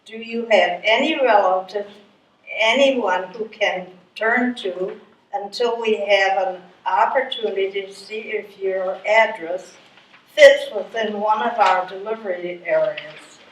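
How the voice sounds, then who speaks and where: A woman's voice: mid-range voice, takes it slowly, lightly acted. A woman playing a polite, apologetic but unhelpful soup charity worker in a readers theatre performance. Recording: echoey distant stage mic, background noise.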